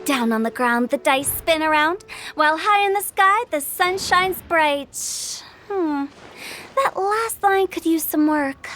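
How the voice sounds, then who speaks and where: A woman's voice: extremely high pitch, expressive, slow, music in the background, exaggerated voice. A woman voicing a mischievous, theatrical young funeral director in a video game, with a playful sing-song delivery. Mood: musing.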